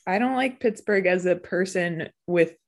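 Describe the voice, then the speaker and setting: A woman co-hosting a casual podcast chat, playful and teasing, quick to laugh. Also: lowish voice, vocal fry. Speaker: podcast co-host.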